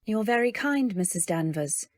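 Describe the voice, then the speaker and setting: A woman playing a dreamy, naive young newlywed, narrating in measured phrases in an old-time radio drama style. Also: medium pitch, animated, no echo, natural voice. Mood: uneasy, polite. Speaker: narrator and lead. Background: faint music.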